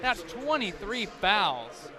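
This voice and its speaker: A man's voice: high-pitched, sing-song, takes it slowly. An American man doing live basketball play-by-play as an announcer, describing the action in running detail from courtside in a big arena. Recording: loud crowd and arena noise behind.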